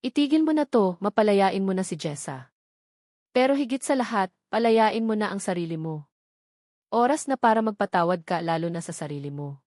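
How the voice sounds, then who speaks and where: Woman voicing a gentle, remorseful grown son. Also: medium pitch, normal speed, no room sound, studio quality, lightly acted. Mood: earnest urging. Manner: calm, earnest, weighty delivery. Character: adult son, wealthy CEO, gentle and remorseful, pleading.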